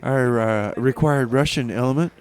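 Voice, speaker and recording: A man hosting a comedic community radio show between songs, talking in a halting, repetitive, mock-earnest way. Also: medium pitch, darkish tone. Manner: rambling, halting, repeats himself.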